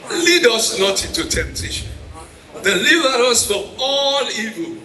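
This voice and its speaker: A man with a Sierra Leonean accent leading a public prayer in a solemn, measured way. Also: very high-pitched, sing-song, reverberant, crowd noise. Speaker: prayer leader. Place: hall at a town hall meeting.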